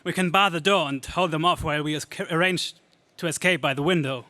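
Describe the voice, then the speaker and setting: A man's voice: on the high side, brisk, pushed and bright, dry recording. A man playing a gallant young space hero, speaking earnestly and declaiming in 1930s radio serial style. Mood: urgent.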